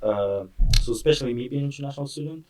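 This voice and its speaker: A man's voice: mid-range voice, rapid-fire, recorded from a distance, poor audio. An eighteen-year-old man from Morocco, a student interviewer, asking questions earnestly and often giving short interjections. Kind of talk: casual interview.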